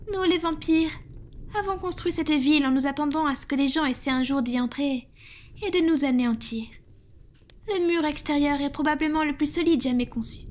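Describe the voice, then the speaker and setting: A woman playing a powerful, protective female vampire in an ASMR roleplay, speaking in a soft, breathy, formal and self-assured way. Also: high voice, natural voice. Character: powerful protective female vampire, commanding yet tender lover.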